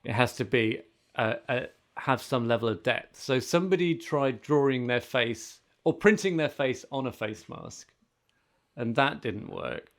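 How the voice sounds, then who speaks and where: A man's voice: mid-range voice, expressive, a little slow, fairly bright tone. A man co-hosting a casual tech podcast, talking in an insistent, contrarian way. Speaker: podcast co-host. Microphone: remote call setup. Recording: remote video call.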